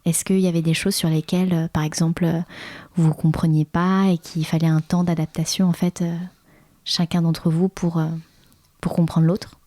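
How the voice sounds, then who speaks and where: A woman hosts a podcast interview, her voice low and mellow. She asks questions in a loose, conversational way, nudging her guests along with soft murmurs of agreement. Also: level tone, normal speed. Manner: encouraging, frequent "mm" backchannels. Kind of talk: casual interview.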